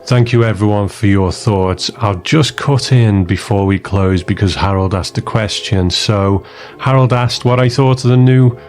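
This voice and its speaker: A man hosting a podcast, closing the episode in a relaxed way, thinking aloud. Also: fairly low pitch, unhurried, soft background music. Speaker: podcast host. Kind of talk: casual chat.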